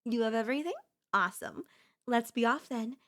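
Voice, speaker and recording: A high-pitched, sweetly possessive yandere girlfriend, acted by a woman for an ASMR roleplay. She is theatrical and insistent, coaxing her beloved with flowery endearments and elegant teasing. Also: brisk, fairly bright tone, close to an everyday voice. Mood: eager. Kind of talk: ASMR audio roleplay acting.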